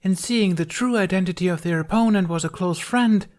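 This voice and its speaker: Man voicing an exasperated young woman playing king, high-pitched. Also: fairly quick, a little mellow, close to an everyday voice. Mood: weary declaiming. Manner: strained, declamatory stage delivery. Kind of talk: character voice in fan fiction reading.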